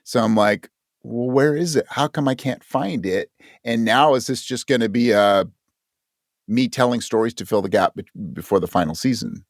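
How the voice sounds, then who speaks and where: A man's voice: medium pitch, moderate pace, no room sound, clean studio sound. A man speaking as a writer guest in a casual interview, candid and thinking aloud, often acting out his own thoughts as quotes. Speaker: guest audio drama writer.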